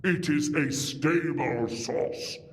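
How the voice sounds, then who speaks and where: A man's voice: a little high, takes it slowly, a little hiss or hum. A man playing a grave, ruthless alien warlord in an audio drama, solemn and declamatory, embittered by war. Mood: cold, proud. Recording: long reverberant hall, faint music.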